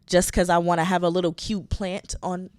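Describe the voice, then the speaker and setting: A woman's voice: on the low side, level tone, darkish tone, no room sound, clean studio sound. A young woman co-hosting a podcast in casual chat, agreeable and backing up her co-host. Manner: agreeable, quick to back up her sister with short replies.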